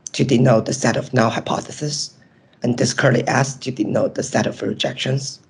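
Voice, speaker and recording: A man with a hoarse, nearly lost voice, presenting his research in a webinar and explaining step by step over a video call. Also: medium pitch, a little mellow, low-quality recording. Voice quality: raspy, strained. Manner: explains step by step with frequent ums.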